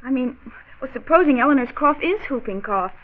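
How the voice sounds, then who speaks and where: A woman's voice: sits high, animated, a little fast, narrow, tinny audio. An actress playing an anxious, guilt-ridden young mother in a 1940s radio drama, speaking in a flustered, fretful way. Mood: anxious, guilty. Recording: band-limited old radio recording.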